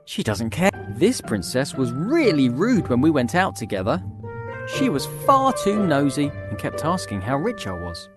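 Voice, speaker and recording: Fussy young prince over prominent music. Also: male voice, a little high, wildly swooping pitch, normal speed. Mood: picky, complaining. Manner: complaining, picky. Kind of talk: acted children's fairy-tale dialogue. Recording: music behind the voice.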